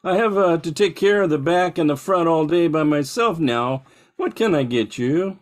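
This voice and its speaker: A man acts out a harried bakery owner in a 1940s-style radio play. His voice is high and swoops up and down, earnest, polite and easily flustered. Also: lively intonation, normal speed, lightly acted. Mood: polite, apologetic. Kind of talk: 1940s radio drama acting.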